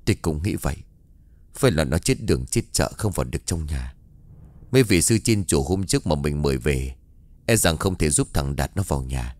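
A man voicing a shaken, grave older father in a horror story, speaking in a low, subdued way. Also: lively intonation, brisk, a little mellow, no echo. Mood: weak, grave.

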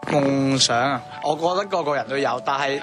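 A man's voice: mid-range voice, fairly even intonation, brisk, over music. A man with a Hong Kong Cantonese accent giving a vox pop answer as an interviewee.

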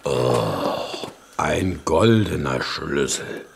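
A man's voice: extremely low pitch, big pitch swings, very slow, creaky, noisy background, heavily acted. An actor playing a blunt, coarse Prussian king in a 1970s radio play, with music behind. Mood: gruff. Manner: coarse, plain-spoken, mocking. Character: blunt gruff Prussian king, middle-aged man. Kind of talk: 1970s radio drama acting.

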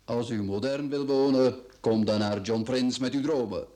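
Radio drama: male actor as brash property boss, Flemish accent, clipped sarcastic delivery, medium-pitched. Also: moderate pace, mellow, lightly acted. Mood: cocky, dismissive. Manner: curt, sarcastic, bossy.

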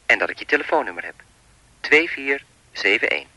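A menacing anonymous caller in a radio drama. His voice is strikingly sharp and forward, recorded very dry, with animated intonation. He rambles in an insinuating, falsely polite way, chatty and lewd as he taunts. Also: medium pitch, a little fast, no room sound, exaggerated voice, low-quality recording. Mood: quietly menacing. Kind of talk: radio play acting.

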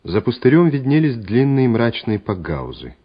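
A man's voice: mid-range voice, huge pitch swings, normal speed, husky. A man narrating a radio play in a dramatic, scripted storytelling style. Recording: dull old radio drama recording. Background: music.

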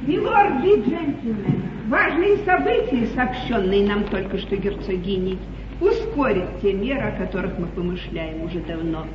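A woman's voice: mid-range voice, very animated, normal speed, natural voice. An actress playing a lovelorn, anxious yet commanding queen in a theatrical 1950s stage comedy. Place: theatre stage, recorded live. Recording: noisy, muffled 1950s theatre hall broadcast, distant.

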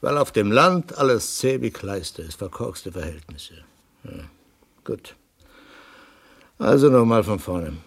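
A man playing a grumpy, world-weary police inspector in a 1960s German radio play, with a gravelly, husky voice and curt manner. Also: on the low side, sing-song, measured pace, pauses often, exaggerated voice. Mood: grumbling, sour. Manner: grumbling, curt.

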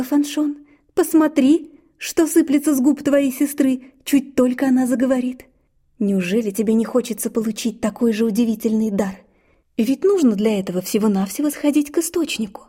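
A woman voicing a bossy, shrill peasant mother in a fairy-tale reading, scolding and exclaiming. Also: warm tone, breathy edge. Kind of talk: storybook reading.

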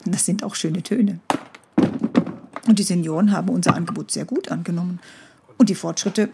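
An actress playing a self-justifying, soothing care therapist in a naturalistic radio drama, earnest and a little hesitant, with a creaky voice. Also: female voice, lowish voice, moderate pace, heavy background noise.

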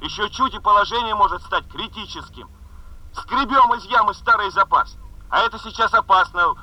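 A worried male plant engineer reports by telephone in a 1970s radio play. His voice is very high for a man, with a dull, narrow sound. He is deferential and hurried, with a wry edge. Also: pushed and bright, full character voice. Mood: anxious, hurried. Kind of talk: 1970s radio drama acting. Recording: dull, band-limited phone sound.

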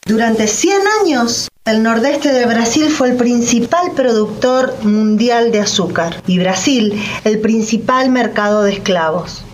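Actress doing lady history narrator, scripted and measured, slightly high voice, faint noise behind. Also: natural voice. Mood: informative. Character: factual female documentary narrator.

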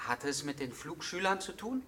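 An actor playing an officious aviation investigator in a radio drama, formal and easily flustered. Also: male voice, on the high side, big pitch swings, moderate pace, bright, forceful tone, breathy edge, exaggerated voice. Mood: flustered, inquiring. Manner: formal, hesitant, muttering to himself.

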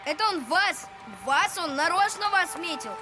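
A woman playing a cocky, bossy schoolboy ringleader in an audio drama, excitable and taunting. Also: very high voice, normal speed. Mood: taunting, urging. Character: cocky, jeering schoolboy ringleader, about eleven. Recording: studio drama with loud music underneath. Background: music.

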